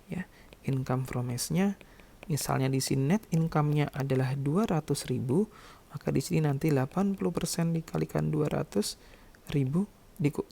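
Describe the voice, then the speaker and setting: A man's voice: fairly high pitch, vocal fry, warm tone, breathy edge. A man teaching like a lecturer, explaining step by step and often adding short check-in words as he goes. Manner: instructional, repeatedly checking with short tag words. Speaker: accounting lecturer.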